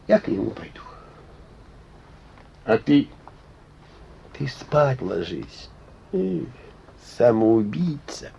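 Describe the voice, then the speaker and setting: An actor playing a rambling, sighing, blunt and mocking injured inventor in a Soviet radio play. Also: male voice, mid-range voice, big pitch swings, slow pace, creaky, pauses often, mellow, slight background noise, full character voice. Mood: mocking, earnest. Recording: muffled old radio recording.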